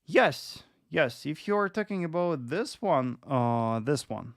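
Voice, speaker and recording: A man in his twenties presents live analysis at a slow, measured pace. His voice has a noticeable fry and sits in a dry, close recording. He explains step by step and repeats key phrases for emphasis. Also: a little high, expressive, no room sound. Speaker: live trading stream host and analyst.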